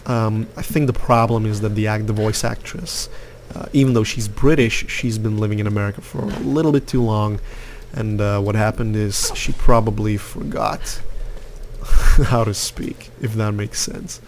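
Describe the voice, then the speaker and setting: Opinionated man, low voice. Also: fairly even intonation, moderate pace, darkish tone, slight background noise. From Lithuania. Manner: rambling, opinionated, many fillers. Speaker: gaming youtuber. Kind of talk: casual gameplay commentary.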